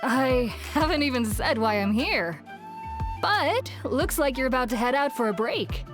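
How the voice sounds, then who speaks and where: A woman voicing a chatty, expressive female villager in a video game. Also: high-pitched, measured pace. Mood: friendly. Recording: game music behind the voice.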